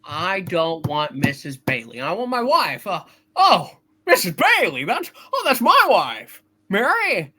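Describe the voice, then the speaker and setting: A man performs an earnest young businessman from a hammed-up radio play. The delivery is halting and flustered, with a bright edge and lively, swooping intonation. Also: sits high, voice pushed up, heavily acted. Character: George Bailey, earnest flustered young small-town husband and businessman.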